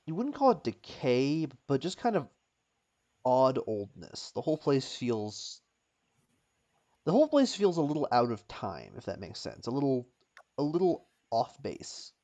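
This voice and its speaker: A man's voice: medium pitch, huge pitch swings, measured pace, dark tone, muffled recording. A man improvising narration as a tabletop game master, often searching for words and repeating phrases as he describes things.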